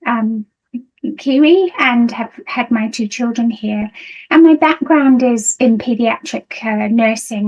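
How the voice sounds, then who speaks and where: A woman's voice: fairly high pitch, gravelly, darkish tone, rough recording. A middle-aged woman from the United Kingdom with a British accent, giving a conference talk as a nursing lecturer over a video call, hesitant with many ums. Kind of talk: conference presentation.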